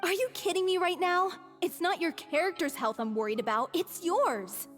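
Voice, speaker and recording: A woman's voice: very high-pitched, normal speed, a bit bright, soft background music. A woman voicing a caring young actress from a theatre troupe in a video game, earnest and concerned. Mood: scolding.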